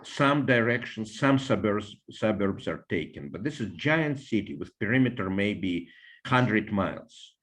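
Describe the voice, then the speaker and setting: An older man with a Russian accent, a biologist answering interview questions in a measured way, with frequent fillers and restarts. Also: lowish voice, expressive, a bit bright. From Soviet Union, Russia (Siberia) and Ukraine.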